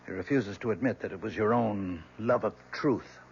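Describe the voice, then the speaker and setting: An actor playing an aging, guarded professor in an old-time radio drama, speaking in a formal, hesitant, measured way. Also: male voice, on the low side, lightly acted. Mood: grave, consoling. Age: older. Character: aging male professor, evasive, weary, guarded old academic. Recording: dull, noisy old radio broadcast.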